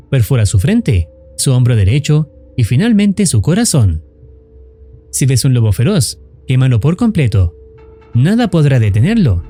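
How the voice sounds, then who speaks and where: A man's voice: medium pitch, dark tone. A man reading a scripted narration as the narrator of a horror story recap.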